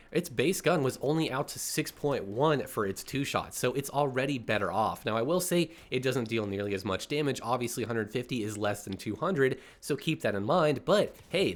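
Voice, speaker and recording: Congested man giving casual gaming commentary. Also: mid-range voice. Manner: upbeat, chatty, explanatory. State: congested, clearing his throat. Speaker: gaming YouTuber host.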